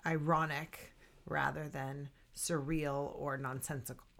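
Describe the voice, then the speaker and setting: A woman's voice: sits low, level tone, unhurried, creaky, a bit bright. A woman co-hosting a casual chat, rambling and laughing easily as she talks. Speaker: podcast co-host.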